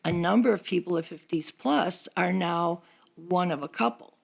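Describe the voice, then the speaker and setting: A woman's voice: fairly low pitch, normal speed, gravelly, breathy edge. An older American woman, in her sixties or beyond, chatting casually in a group conversation as a member of a seniors' group. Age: 60s or older.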